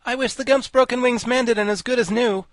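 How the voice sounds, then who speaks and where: Man putting on a high-pitched, pompous, scholarly insect. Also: fairly quick, a bit bright, dry recording, studio quality. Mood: grand, self-important. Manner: pompous, emphatic, pedantic declaiming. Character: pompous, highly educated giant insect, male. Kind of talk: storybook character voice.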